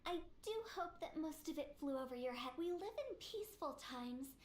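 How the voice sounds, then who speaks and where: A cheeky young woman who runs a funeral parlor, high-voiced and theatrical. She spins her words like a playful storyteller. The sound is roomy, with some noise behind it. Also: lively intonation, moderate pace. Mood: playful, musing. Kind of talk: video game voice acting.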